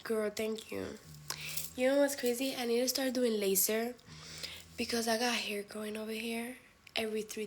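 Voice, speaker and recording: A woman's voice: fairly high pitch, slight background noise. Blunt young woman chatting on a livestream. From Spain. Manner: candid, blunt and profane; mixes in Spanish phrases. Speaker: livestream host. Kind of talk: casual live chat with viewers.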